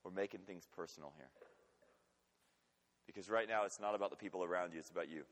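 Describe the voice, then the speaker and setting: A man preaching a sermon with emphatic delivery, swinging between urgent loud passages and quiet, intimate ones. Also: sits low, fast talker. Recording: long hall reverb.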